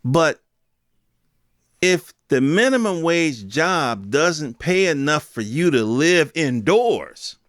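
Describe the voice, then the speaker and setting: A man's voice: fairly high pitch, big pitch swings, slow pace, a bit bright, no room sound, clean studio sound. An American man in his fifties giving a casual, opinionated solo monologue in emphatic, slangy, conversational speech. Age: 50s. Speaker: podcast host.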